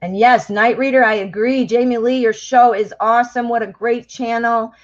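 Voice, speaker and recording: A woman's voice: fairly high pitch, steady tone, moderate pace. American woman, tarot reader on a live stream, chatty and affectionate, slightly dull lo-fi sound. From United States. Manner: chatty, warm endearments, reads viewer names aloud; exclaims and laughs at cards.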